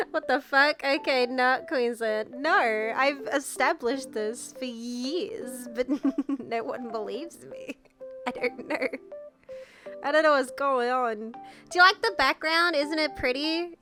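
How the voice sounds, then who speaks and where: A woman's voice: high voice, bright, forceful tone. A young Australian woman chatting casually on a stream while sick with the flu, her voice nasal and congested. She rambles, swears and giggles often. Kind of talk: casual chat reading viewer messages. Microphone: VR headset mic. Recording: streamed with background music. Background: music playing.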